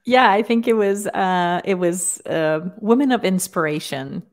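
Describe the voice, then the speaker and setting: A woman's voice: on the low side, measured pace. A woman from Serbia, a native Serbian speaker, talking casually as an interviewed co-host on a headset video call. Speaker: podcast co-host being interviewed. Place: indoor room, on a video call.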